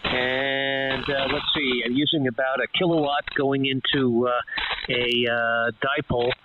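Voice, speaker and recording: Male ham operator, hesitant and unhurried, thin narrowband radio sound buried in loud static. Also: mid-range voice, steady tone. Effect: heard over amateur radio link. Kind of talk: casual ham radio conversation.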